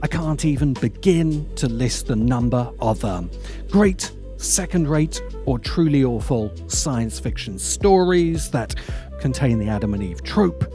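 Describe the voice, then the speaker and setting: Slow, mellow man narrating with lively pitch. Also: soft background music. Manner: measured, emphatic pauses, wry asides. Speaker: video essayist, narrator. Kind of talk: scripted video essay narration.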